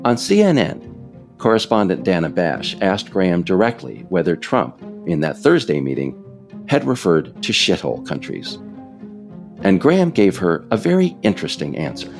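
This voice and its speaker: An American man reading scripted political narration in a measured, emphatic, explanatory way. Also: sits low, very animated, faint music behind. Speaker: author narrating own political audiobook.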